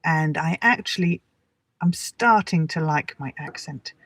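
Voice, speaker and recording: A middle-aged woman with an English accent teaching in a relaxed, chatty and encouraging way, speaking directly to her listeners. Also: deep voice, measured pace. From England. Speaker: English teacher hosting a live lesson. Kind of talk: relaxed, chatty livestream talk with personal anecdotes. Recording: roomy echo, distant from the mic.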